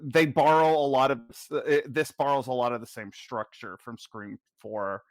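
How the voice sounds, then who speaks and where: A man in a casual podcast chat, speaking at a mid pitch with a relaxed, frank manner. He often restarts his thoughts with ums. The mic is close and dry. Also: moderate pace, dry recording. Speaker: podcast panelist. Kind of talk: casual film discussion.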